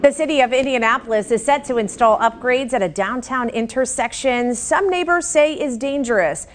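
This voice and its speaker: A woman's voice: on the high side, normal speed, a little hiss or hum. An American woman presenting news and weather as a television anchor.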